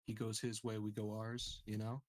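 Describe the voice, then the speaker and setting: Laid-back, lowish-voiced male gamer. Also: completely flat delivery, normal speed, dry recording. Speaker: tabletop game player. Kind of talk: casual chat.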